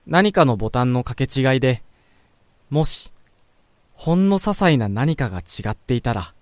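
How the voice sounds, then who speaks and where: A man playing a pensive young man who narrates his thoughts in a radio drama, measured and introspective. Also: mid-range voice, expressive, darkish tone, natural voice. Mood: wistful doubt. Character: pensive young man quietly reflecting on a childhood friend.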